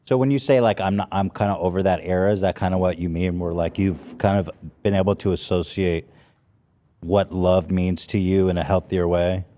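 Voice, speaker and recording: A man's voice: deep voice, steady tone, moderate pace, warm tone, a little hiss or hum. A man from California hosting a podcast, asking laid-back questions and cracking jokes. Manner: laid-back, joking, prompts with short questions. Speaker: podcast host. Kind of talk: casual interview chat.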